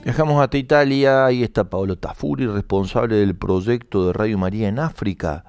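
A man's voice: lowish voice, a little fast, a little mellow, smooth, soft background music. A middle-aged man with an Argentine accent hosting a live radio interview, encouraging his guest and helping him find words. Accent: Rioplatense Spanish. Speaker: radio host.

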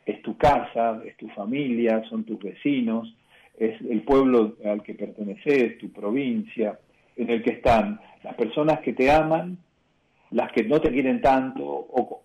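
Man, Argentine accent, bishop, warm dark voice, thoughtful, hesitant restarts, muffled radio sound. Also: mid-range voice. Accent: Argentine Spanish. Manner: measured, reflective, with frequent hesitations and restarts. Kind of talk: radio interview answer.

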